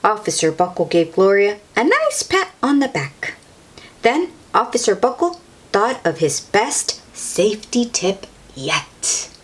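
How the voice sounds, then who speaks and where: A woman's voice: mid-range voice, huge pitch swings, a little slow, breathy edge. A woman reading a children's picture book aloud, with exclamations and chatty asides to young listeners. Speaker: storybook read-aloud host.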